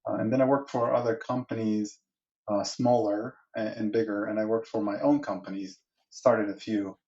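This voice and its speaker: A man's voice: lowish voice, steady tone, normal speed, mellow. A man in his forties from Egypt, a guest in a podcast interview, who talks in long rambling answers full of restarts and fillers. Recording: dull lo-fi sound.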